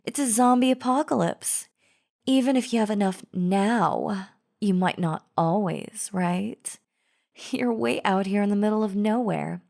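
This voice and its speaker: A woman's voice: medium pitch, a little slow, creaky, darkish tone. A woman playing a chatty female zombie in an audio roleplay, casually coaxing the listener with false friendliness and a sly, wheedling drawl. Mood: coaxing, falsely friendly. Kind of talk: scripted audio roleplay acting, addressing the listener.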